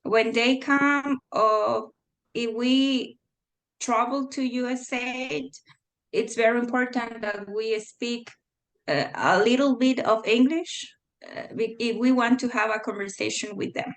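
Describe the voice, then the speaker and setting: Slow, high-voiced Mexican woman. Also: fairly even intonation, low-quality recording. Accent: Mexican Spanish. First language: Spanish. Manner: hesitant, many fillers, laughs often. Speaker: interview guest. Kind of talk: casual conversation.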